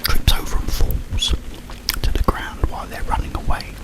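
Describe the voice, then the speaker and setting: A man speaking in a breathy whisper, rambling informally through a story in ASMR style. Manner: slow, halting, informal storytelling. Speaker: ASMR content creator.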